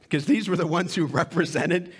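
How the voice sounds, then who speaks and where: A man in his thirties preaching a sermon on a church stage through a headset mic, with loud, emphatic lines and hushed asides. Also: fairly high pitch, brisk, husky. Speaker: pastor.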